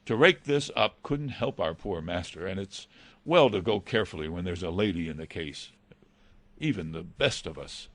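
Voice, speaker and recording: Audiobook role: man as loyal butler, lowish voice, dry sound, respectful, earnest, hesitant. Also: expressive, moderate pace, fairly bright tone, no echo. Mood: earnest, apologetic. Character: deferential, loyal male butler of a country house. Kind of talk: audiobook character dialogue.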